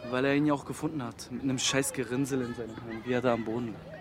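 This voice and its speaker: A man's voice: medium pitch, level tone, quick pace, a crowd in the background, background noise, close to an everyday voice. A young man playing an earnest, streetwise student in a naturalistic radio drama, with slangy, emphatic talk. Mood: subdued. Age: young adult.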